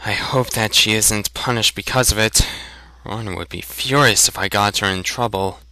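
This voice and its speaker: A man's voice: fairly low pitch, moderate pace, bright, forceful tone, no echo, poor audio. A man voicing a polite, eager and earnest young farm lad in a fantasy audiobook. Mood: concerned. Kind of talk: dramatic fantasy audiobook reading.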